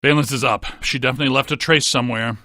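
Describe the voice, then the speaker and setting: A man playing a brainy, deadpan scientist ghost hunter in a video game, with precise technical wording. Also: mid-range voice, racing, dry recording, studio quality. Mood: deadpan, analytical. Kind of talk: scripted video game voice acting.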